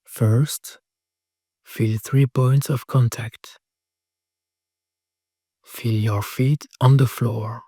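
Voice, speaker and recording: A man narrating a guided meditation in a soothing, measured way, speaking calm scripted instructions in short phrases. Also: medium pitch, husky, dry recording, studio quality. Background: singing bowl tones.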